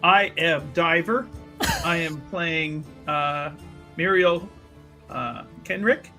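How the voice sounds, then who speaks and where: Man, slightly high voice, slow halting pace, rambling, tabletop game player, casual chat. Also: sharp tone, faint music behind. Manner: halting, rambling, pausing mid-thought. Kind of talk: casual character introduction for an actual-play stream.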